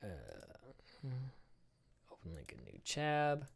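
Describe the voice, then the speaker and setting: A man in his thirties hosting a casual live stream, reacting in a rambling, profane, animated way. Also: medium pitch, slow, gravelly. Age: 30s.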